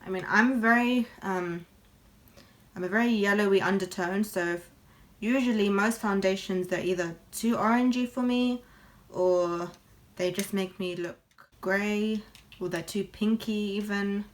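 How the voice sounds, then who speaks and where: A woman's voice: medium pitch, fairly even intonation, measured pace. A young woman, chatting candidly while doing her makeup for a beauty vlog. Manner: chatty and candid, lots of fillers. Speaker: beauty vlogger. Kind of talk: casual get-ready-with-me chat.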